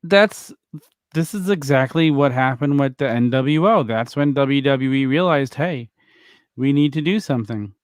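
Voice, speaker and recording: A man's voice: medium pitch, moderate pace, clean tone, dry recording, clean studio sound. A man co-hosting a wrestling podcast, rambling and teasing in casual chat.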